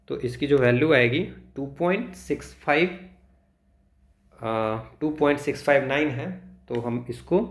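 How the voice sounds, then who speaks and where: A man with an Indian accent teaching exam problems step by step, halting and thinking aloud as he calculates. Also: mid-range voice. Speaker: physics teacher for exam preparation. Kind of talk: instructional walkthrough of exam problems, switching between Hindi and English.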